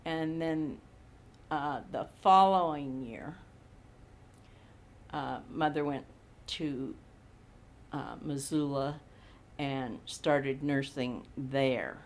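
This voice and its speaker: A woman in her eighties from Montana, recalling her childhood slowly in an oral history interview. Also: very deep voice, a little hiss or hum. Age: 80s. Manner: slow, unhurried recollection with frequent pauses. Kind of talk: reminiscing in a recorded interview.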